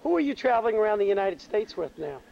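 A man's voice: high voice, sing-song, fast, gravelly, crowd noise. A man casually asking questions from behind a home video camera. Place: outdoors at a woodland shelter. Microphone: behind the camcorder. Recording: dull, slightly noisy camcorder audio.

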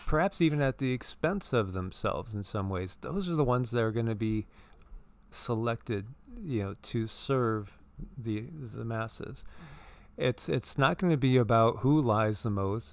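A man co-hosting a spiritual commentary chat in an earnest, rambling manner, looping back and repeating himself as he goes. His voice is smooth and of middling pitch. The sound is dry and close, with no room echo. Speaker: co-host.

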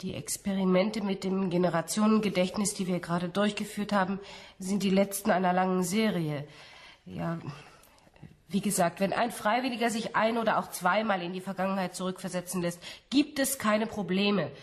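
A woman acting a cautious, evasive university researcher in a radio drama, speaking in a careful, hesitant, measured way. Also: mid-range voice, steady tone, slight background noise, lightly acted. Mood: careful, explaining. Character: cautious female scientist, evasive, uneasy researcher.

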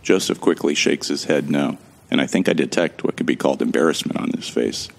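A man's voice: a bass voice, a little fast, vocal fry. A man narrating a sci-fi audio drama in the first person, in a wry, joking and casual way. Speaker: narrator and protagonist.

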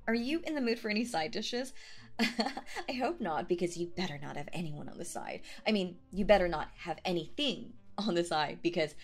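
A woman's voice: lowish voice, lively intonation, normal speed, faint music behind. A woman playing a young, sweetly obsessed and possessive date in an ASMR roleplay, coy and airy in manner. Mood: clingy, forced calm.

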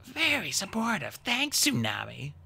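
A woman's voice: sits high, big pitch swings, normal speed, pushed and bright, voice pushed up, exaggerated voice. A woman voicing a fiery, sarcastic young female dragon in an indignant manner for an audiobook.

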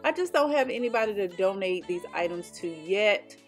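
A woman's voice: mid-range voice, lively intonation, moderate pace, soft background music. A middle-aged woman home vlogger giving casual voice-over narration, chatty and explaining with frequent ums.

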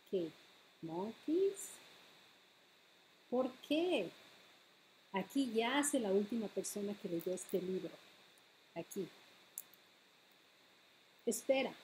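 Slow, higher-pitched woman reading a storybook. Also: a little hiss or hum, low-quality recording. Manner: expressive, deliberate, switching between English and Spanish. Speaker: children's librarian, storytime host. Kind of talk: bilingual picture book read-aloud for preschoolers. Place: home living room.

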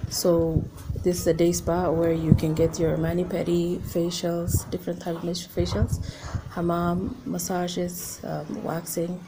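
A young woman who owns a spa, speaking to camera outdoors, relaxed and often pausing with fillers. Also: on the low side, background noise. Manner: relaxed, hesitant with many fillers. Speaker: spa owner. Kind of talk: interview to camera. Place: outdoors in a garden.

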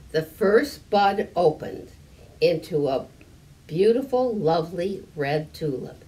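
An elderly woman reads a picture book aloud to children in a deliberate, slightly halting manner, adding small explanations. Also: on the low side, a little mellow. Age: 70s or older. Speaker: story time reader. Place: library room with stone fireplace, indoors. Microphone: camera a few meters away. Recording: distant mic, room noise.

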